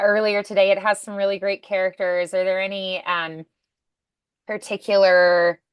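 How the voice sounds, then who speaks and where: A woman's voice: medium pitch, fairly bright tone, clear, resonant tone, dry recording, studio quality. A woman coaching a student in a casual one-on-one conversation, with an encouraging, affirming manner. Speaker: voice acting coach.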